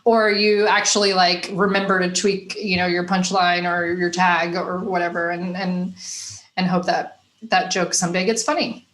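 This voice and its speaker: A woman's voice: lowish voice, level tone, moderate pace, a bit bright, a little hiss or hum. A woman from Nashville with a faint Southern accent, talking through her stories as a guest comedian in a loose, rambling way, full of "you know" and "like.". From Nashville, Tennessee. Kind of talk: casual interview chat. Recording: roomy echo.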